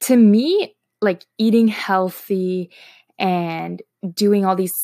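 A woman's voice: mid-range voice, slow, mellow, dry recording. Young Canadian woman, close dry sound. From Toronto, Canada. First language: Slovak. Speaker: health and wellness blogger. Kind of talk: casual chat to followers.

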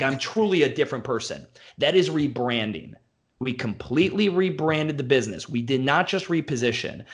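A gym owner who talks in blunt, profane bursts, piling one example on the next. His voice sits at an ordinary middle pitch. It is relaxed, unscripted podcast talk. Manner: blunt, profane, fast-flowing rants. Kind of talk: casual podcast conversation.

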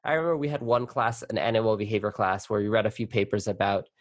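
Low-voiced young man with dry sound. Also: quick pace, no room sound. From born in Colombia, raised in New York City. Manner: chatty, with many fillers and restarts. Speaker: guest, arachnologist. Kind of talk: casual interview.

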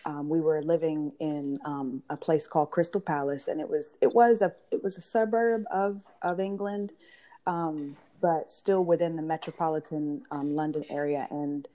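A woman in her thirties who grew up in Georgia, telling her family story as an interview guest, pausing with ums and restarting her sentences. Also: deep voice, fairly even intonation, warm tone. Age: 30s. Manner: thoughtful, many ums and restarts. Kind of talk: casual interview, personal story. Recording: noisy recording.

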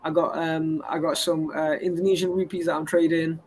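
A man's voice: fairly high pitch, flat delivery, a little fast, darkish tone, a little hiss or hum. A man, a guest rambling through his business credentials in a casual interview. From England. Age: 20s. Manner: boastful and rambling, stacks up credentials. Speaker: guest entrepreneur.

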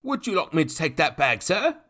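A man's voice: on the high side, big pitch swings, normal speed, sharp tone, pitched above the performer's own voice, heavily acted. A man voicing a polite, flustered coach officer in a storybook reading.

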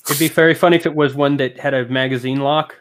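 A man's voice: medium pitch, steady tone, fast talker. A man co-hosting a comedy podcast, chatting casually with crude, sweary humour. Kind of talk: casual joking chat.

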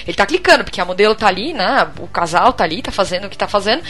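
A Brazilian woman co-hosting a podcast, chatting casually in a blunt, expressive and colloquial way. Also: medium pitch, very fast. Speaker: podcast co-host. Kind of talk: casual group chat.

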